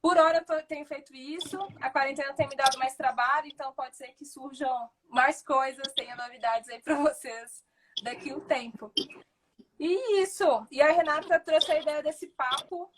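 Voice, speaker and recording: Upbeat woman host with a high voice, casual live-stream talk. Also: normal speed, noisy background. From Brazil, Minas Gerais. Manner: friendly, upbeat, introduces topics. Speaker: host, entrepreneur. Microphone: video call.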